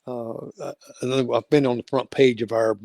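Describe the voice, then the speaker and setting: An older man hosting an interview show, chatting casually and halting with fillers and restarts. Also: medium pitch, level tone, creaky, a little mellow, dry recording. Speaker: talk show host.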